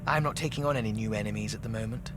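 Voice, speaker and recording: An actor playing an aristocratic ancient mummy in a video game, arch, witty and disdainful. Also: male voice, lowish voice, very fast, background noise, natural voice. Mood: disdainful, teasing. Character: aristocratic, sardonic, haughty ancient mummy dealmaker. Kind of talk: theatrical video game dialogue.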